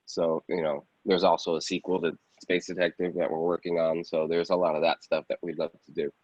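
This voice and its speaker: Low male voice, casual interview guest, chatty and easygoing, dull roomy recording. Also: flat delivery, a little fast, a little mellow. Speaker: guest actor and filmmaker.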